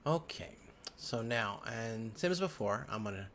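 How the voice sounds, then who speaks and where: A man's voice: fairly low pitch, lively intonation, a little slow. A man giving a casual, unscripted computer tutorial as an instructor, thinking aloud and murmuring to himself as he works. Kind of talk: casual unscripted screen-recorded walkthrough. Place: indoors at a computer. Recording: background noise, keyboard typing and clicks. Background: keyboard typing and mouse clicking.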